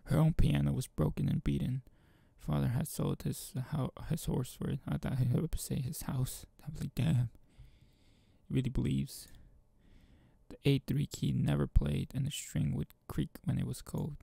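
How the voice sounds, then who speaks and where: Gravelly, husky man narrating casually. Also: a little high, level tone, dark tone, no echo. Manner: informal, halting. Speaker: gaming channel host. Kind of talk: reading a visual novel aloud, casual commentary.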